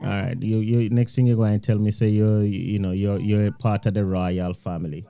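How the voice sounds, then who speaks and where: A man's voice: lowish voice, level tone, moderate pace, gravelly, clean tone, no room sound, phone-quality sound. A man hosting a talk radio show, rambling and drawn out, laughing often. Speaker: radio host.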